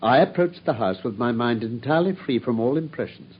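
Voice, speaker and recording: An actor playing a confident Victorian detective with a British accent, precise and lecturing, in a radio drama. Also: male voice, mid-range voice, animated, fairly quick, husky. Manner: precise, lecturing, self-assured. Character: confident, analytical male Victorian detective explaining his methods. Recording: dull, muffled radio drama recording.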